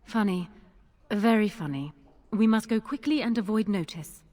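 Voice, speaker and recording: A woman's voice: medium pitch, normal speed, mellow, no echo, close to an everyday voice. An actress playing a calculating young queen with a formal, commanding manner. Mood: composed urgency.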